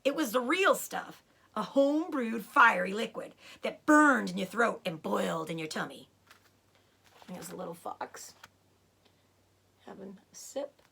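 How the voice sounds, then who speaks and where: A woman reading a children's book aloud in an expressive, dramatic way, with short asides about the pictures. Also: mid-range voice, unhurried, gravelly, pauses often, slightly rough. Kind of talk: animated storybook read-aloud with picture asides.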